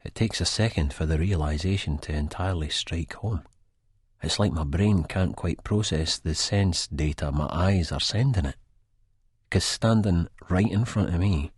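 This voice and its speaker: A Scottish man reading a first-person story in a colloquial, confiding, conversational way, with a husky voice. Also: sits low, no echo, clean studio sound. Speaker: audiobook narrator. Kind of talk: dramatic first-person scripted narration.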